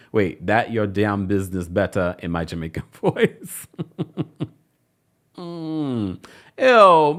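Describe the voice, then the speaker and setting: Man, host, gossip commentary, sassy and mocking, lively pitch. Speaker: show host. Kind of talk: commentary on celebrity news.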